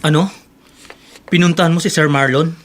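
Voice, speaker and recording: An actor playing a stubborn, proud older brother in a Filipino radio drama, emphatic and defiant. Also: male voice, on the high side, steady tone, moderate pace, over loud music, natural voice. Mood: smug. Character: stubborn proud suspended employee, older brother, given to drinking.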